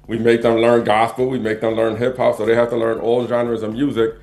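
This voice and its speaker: Middle-aged man with a medium-pitched voice. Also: little pitch movement, a little fast, a little mellow. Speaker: interview guest, retired police officer and DJ teacher. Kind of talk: casual explanatory interview talk. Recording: music playing behind the voice.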